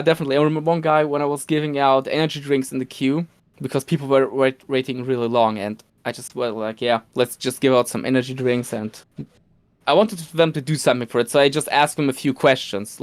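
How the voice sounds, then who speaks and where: Polish-native male guest, somewhat high and smooth, excited rambling storyteller. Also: no echo. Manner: excited storytelling with laughs and restarts. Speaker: podcast guest, cosplayer. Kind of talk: casual podcast chat.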